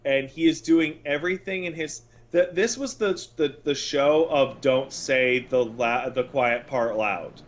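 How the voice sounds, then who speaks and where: A man's voice: mid-range voice, measured pace, few pauses. Blunt, sarcastic man on a podcast. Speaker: podcast co-host and video game commentator. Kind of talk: casual opinionated podcast chat about video games.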